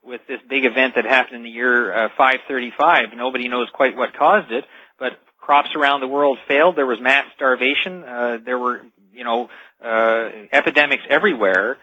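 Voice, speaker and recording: A man's voice: medium pitch, moderate pace, no room sound. A man speaking as a radio show guest, explaining things earnestly in an interview. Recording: slightly dull lo-fi radio call audio.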